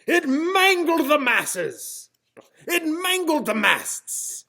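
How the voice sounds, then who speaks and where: A man reading a children's storybook aloud in a theatrical, expressive style. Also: very high voice, very slow, vocal fry. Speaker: storybook reader. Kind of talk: dramatic children's storybook narration.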